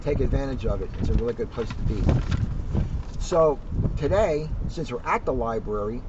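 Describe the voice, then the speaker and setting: A man's voice: mid-range voice, animated, moderate pace. Male storybook reader, casual asides, muffled sound with vehicles and noise behind. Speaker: police officer reading a children's book. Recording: muffled, distant mic, clear background noise.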